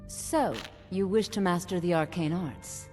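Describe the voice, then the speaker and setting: An actress playing a haughty, menacing court wizard in a fantasy game, with an imperious, theatrical delivery. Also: female voice, on the low side, unhurried, a little mellow, lightly acted. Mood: ominous, instructive. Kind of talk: scripted game dialogue. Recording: long reverberant hall, music underneath.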